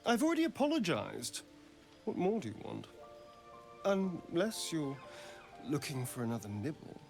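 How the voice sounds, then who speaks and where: An actor voicing a sly, charming vampire in a video game, with an arch, teasing, theatrical manner. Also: male voice, a little high, huge pitch swings, normal speed, vocal fry, faint music behind, exaggerated voice. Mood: exasperated, teasing. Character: sly charming male vampire companion, hungry and playful. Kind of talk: video game character dialogue.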